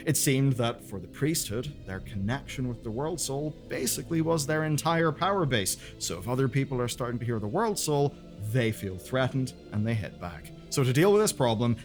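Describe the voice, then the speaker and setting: A man presenting game lore as dramatic scripted narration, with pointed pauses and colloquial asides. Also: medium pitch.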